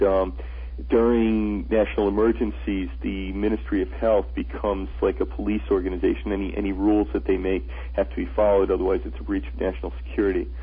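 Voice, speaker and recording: A man's voice: fairly low pitch, breathy edge. A man who is the guest on a radio interview, an author and traveler telling his stories earnestly, often restarting his sentences. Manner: earnest storyteller, frequent restarts. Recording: tinny phone-line radio audio.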